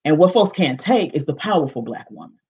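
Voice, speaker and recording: A woman's voice: low voice, a little fast, breathy edge. Big-voiced woman on a dull call. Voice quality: big expressive voice. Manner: emphatic, direct, many fillers and restarts. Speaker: panelist. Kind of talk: panel discussion on video call. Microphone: video call. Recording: dull lo-fi video call audio.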